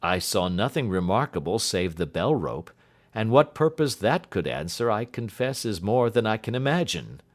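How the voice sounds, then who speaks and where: A man voicing an earnest, puzzled gentleman companion in an audiobook, speaking plainly. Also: lowish voice, sing-song, moderate pace. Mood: puzzled, doubtful. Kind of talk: audiobook character dialogue.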